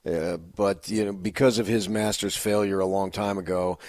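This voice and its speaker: A man's voice: low voice, steady tone, normal speed, a little mellow, no room sound, clean studio sound. An American man co-hosting sports radio, giving off-the-cuff opinions in a rambling, self-correcting way. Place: radio studio.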